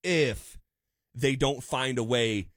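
Analytical sports radio host, dry studio. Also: male voice, medium pitch, slow pace, a bit bright, no room sound. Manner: analytical, builds points with frequent restarts. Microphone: studio microphone.

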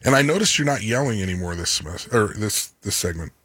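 A man in his thirties co-hosting a sports radio show, talking in a booming, animated way and laughing as he argues. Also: fast talker, breathy edge, dry recording. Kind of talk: casual sports talk banter.

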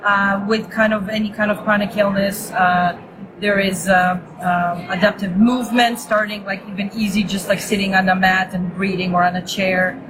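A woman's voice: mid-range voice, flat delivery, moderate pace, a crowd in the background, poor audio. A woman raised in Israel, a native Hebrew speaker, chatting casually as a yoga teacher in an interview, with many fillers and restarts.

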